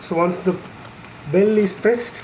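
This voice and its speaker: A person giving an unscripted, explanatory product demonstration in a halting, matter-of-fact way, pausing mid-phrase. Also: mid-range voice, a little mellow, slight background noise, low-quality recording. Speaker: installer presenting a product tutorial.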